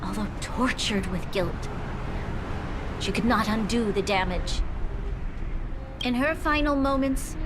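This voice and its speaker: Resolute young lady ruler, game cutscene, earnest n formal, slightly high voice, music behind. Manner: earnest, measured, stately. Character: solemn, resolute young female city ruler. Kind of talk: formal, dramatic video game cutscene acting.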